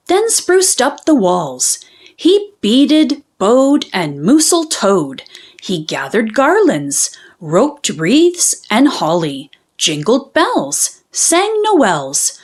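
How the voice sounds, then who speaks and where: A woman reading a children's storybook aloud in an expressive, deliberate way with dramatic pauses. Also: on the high side, slightly rough. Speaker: children's book reader. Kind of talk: animated storybook read-aloud.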